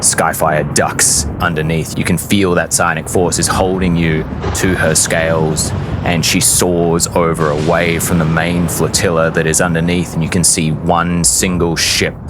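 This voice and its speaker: A man narrating a tabletop fantasy game as game master, deliberate and portentous, with long dramatic pauses. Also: deep voice, flat delivery, noisy background.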